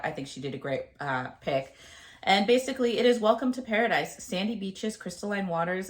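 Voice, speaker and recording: A woman in her thirties talking casually to camera about her month's reading, a bit nasal and gravelly from a cold, with plenty of ums and uhs. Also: fairly low pitch. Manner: chatty, with frequent ums and uhs. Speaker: book vlogger.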